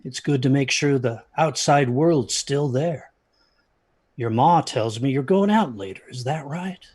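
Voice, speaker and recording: A man playing an uneasy parent in an audio drama, speaking in a halting, ominous, husky way that drops toward whispers. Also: medium pitch, darkish tone. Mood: uneasy small talk. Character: uneasy parent warning children about haunted theaters.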